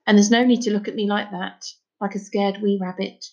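A woman's voice: mid-range voice, little pitch movement, brisk, darkish tone, close to an everyday voice. Stern dad for a storybook, played by a woman: blunt, scolding, troubled, slightly dull recording. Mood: resigned, confiding. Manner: scolding, blunt, folksy phrasing. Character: stern, anguished Scottish father, gruff and scolding.

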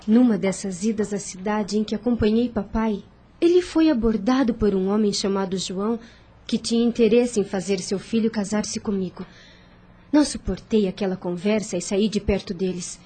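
An actress playing a meek, anxious young farmer's daughter in a radio drama, speaking formally and earnestly. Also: female voice, medium pitch, normal speed, warm tone, breathy edge, close to an everyday voice. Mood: pensive.

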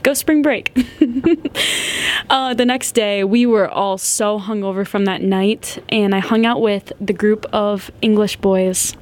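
A woman's voice: mid-range voice, slow, a little mellow. A woman in her twenties hosting a podcast, telling a story in a chatty, giggly way and saying "like" a lot. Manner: chatty, giggly, heavy use of "like". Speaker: podcast host. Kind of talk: casual storytelling.

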